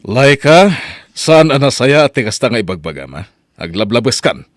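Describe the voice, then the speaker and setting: A man's voice: mid-range voice, big pitch swings, normal speed, dry recording, studio quality, lightly acted. A man acting a stern, exasperated father in an Ilocano radio drama, scolding in an Ilocano accent. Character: stern, exasperated well-off father of college-age daughter.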